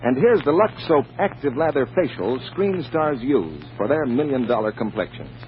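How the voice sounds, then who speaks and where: A man's voice: medium pitch, lively intonation, normal speed. A man announcing in polished, persuasive 1940s radio advertising style, as the show's sponsor voice. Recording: muffled, noisy vintage radio recording.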